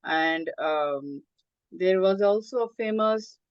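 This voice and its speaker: A woman from Bangladesh, a native Bengali speaker, talking as a guest in an interview, hesitant with many pauses and fillers. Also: lowish voice, clean tone, no room sound, dull audio. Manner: hesitant, many ums, halting phrasing. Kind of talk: interview conversation.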